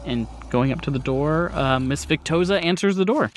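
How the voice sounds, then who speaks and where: A man's voice: a little high, normal speed, background noise. A man acting as game master, chatting casually and jokily as he narrates an improvised tabletop game. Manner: jokey, teasing the players.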